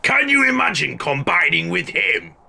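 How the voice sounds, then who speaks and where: A man playing a dim captured robot criminal, raspy and strained, speaking in a slow drawl in an audio drama. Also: high voice, sing-song, sharp tone, heavily acted. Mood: sullen, resigned. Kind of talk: animated-style audio drama acting.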